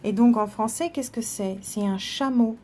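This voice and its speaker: A woman's voice: fairly high pitch, normal speed, darkish tone. A woman informally teaching and translating between two languages, explaining things in an encouraging way as she guides a reading. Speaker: host guiding a child's reading and translating. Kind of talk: informal bilingual teaching, chatting with live viewers.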